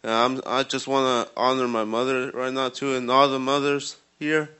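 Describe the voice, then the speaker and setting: A man in his twenties from Guatemala, giving a halting personal testimony with many fillers and restarts. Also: mid-range voice, flat delivery, sharp tone, clean tone. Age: 20s. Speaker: ministry program resident giving testimony.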